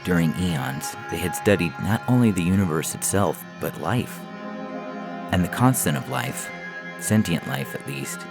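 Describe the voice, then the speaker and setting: A man's voice: low voice, creaky. A man reading a scripted science fiction story as a narrator, in the manner of an audio fiction podcast. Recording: music playing behind the voice. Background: instrumental music.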